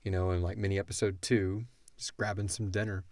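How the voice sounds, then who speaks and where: Male podcast co-host, lowish voice, very dry recording, chatting loosely and slangily about his fiction show. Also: flat delivery, a little fast, darkish tone, no room sound. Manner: informal, slangy, thinks aloud. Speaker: podcast co-host and audio drama writer. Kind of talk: casual Q&A chat about an audio drama.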